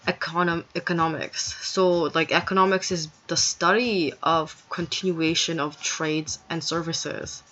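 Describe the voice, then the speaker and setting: A woman talks to her camera in a loose, digressive monologue, leaning on "um" and "like" as she restarts her thoughts. Her voice has a bright, pushing edge. The recording sounds dull and lo-fi. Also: on the low side. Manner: rambling, digressive, frequent fillers and restarts. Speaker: vlogger. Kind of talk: casual explanatory monologue.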